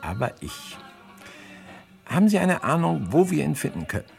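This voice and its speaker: A dogged, dry detective in a radio crime drama. His voice is higher than average, gravelly and husky, terse but with a lot of movement in pitch. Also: very animated, moderate pace, a little mellow, soft background music. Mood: terse, probing. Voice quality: raspy, creaky. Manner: terse, probing. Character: dogged, dry male police detective questioning people.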